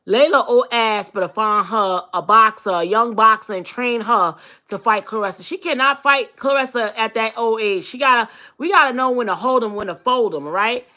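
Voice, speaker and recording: A brash woman with a New York accent hosts a live stream, sassy and profane as she answers her viewers. Her voice is bright and sits a little high. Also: moderate pace. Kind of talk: casual live-stream chat reading comments.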